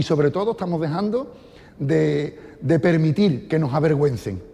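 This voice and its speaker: Man, earnest, warm tone, echoing reverb. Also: on the high side. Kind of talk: interview talk.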